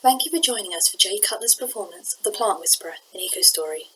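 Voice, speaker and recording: A woman's voice: medium pitch, animated, fast, pushed and bright, a little hiss or hum, poor audio. A woman hosting an event, reading a scripted announcement to the audience. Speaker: event host.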